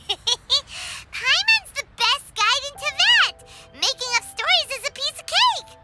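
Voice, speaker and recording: A woman voicing a tiny, childlike, boastful fairy companion in a video game, with a squeaky, bubbly delivery. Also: big pitch swings, slow, exaggerated voice. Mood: perky, eager. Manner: bubbly, speaks of self in third person. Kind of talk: video game cartoon-like character acting. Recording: loud music under the voice. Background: game music.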